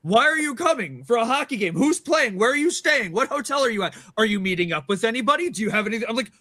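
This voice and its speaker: A man's voice: very high voice, very animated, fast talker, sharp tone, no room sound, clean studio sound. A man telling a long, rambling story to friends with lots of fillers and restarts, acting out quoted dialogue. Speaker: band member and show regular. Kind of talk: casual storytelling among friends. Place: small room with several people around mics.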